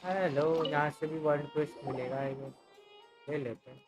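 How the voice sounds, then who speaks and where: A man's voice: on the high side, racing. A man with an Indian accent chatting casually as he streams a game, muttering short phrases to himself. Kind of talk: casual live gameplay chat and reading aloud. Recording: game music behind.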